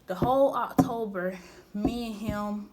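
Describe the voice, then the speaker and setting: A woman's voice: mid-range voice, unhurried, darkish tone, slight background noise, rough recording. A young woman of twenty tells a personal storytime straight to her viewers. She talks candidly and casually, full of slang, and rambles with frequent restarts. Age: 20s. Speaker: vlogger.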